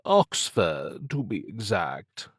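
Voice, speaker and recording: A man voicing an urbane, condescending, androgynous occult agent for an audiobook, in a languid purr with drawn-out phrasing. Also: lowish voice, very animated, fairly bright tone, breathy edge, dry recording, clean studio sound, full character voice. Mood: smug, advising. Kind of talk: audiobook character acting.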